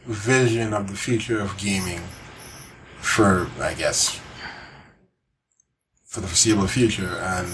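A man's voice: on the low side, a little hiss or hum, rough recording. A man co-hosting a podcast, talking informally and expressively about gaming news, often acting out reactions. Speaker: podcast co-host. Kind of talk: casual chat about video game news.

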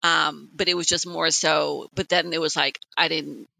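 A woman in her late forties co-hosting a podcast, telling personal stories in an animated, conversational way. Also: deep voice, moderate pace, gravelly. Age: late 40s. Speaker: podcast co-host. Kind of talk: casual personal podcast chat.

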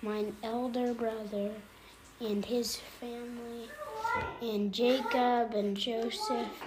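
A woman slowly reading scripture aloud at home for her family, careful and halting, sometimes correcting herself. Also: mid-range voice, noisy background.

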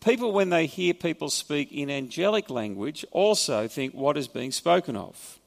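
A man's voice: on the high side, expressive, moderate pace, gravelly, long reverb tail. A man with an Australian accent preaching a sermon, explaining scripture in a conversational way with wry asides. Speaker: pastor. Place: church hall.

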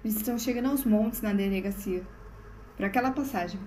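A woman's voice: medium pitch, fast talker, dark tone, lightly acted. Woman acting echoey, urgent homeless doomsayer, Brazilian accent. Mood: wry, insistent. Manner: urgent, bitterly resigned, emphatic. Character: homeless woman, wary doomsayer witnessing an alien invasion. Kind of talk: audio drama acting. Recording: echoey room with faint background noise.

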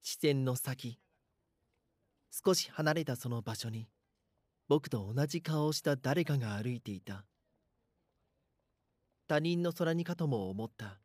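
A man's voice: medium pitch, animated, slow, pauses often, a little mellow, dry recording, clean studio sound. A man playing an anxious, bashful schoolboy who narrates his own story earnestly in a voice drama. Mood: tense, uneasy. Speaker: voice actor. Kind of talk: Japanese voice drama, first-person narration and dialogue.

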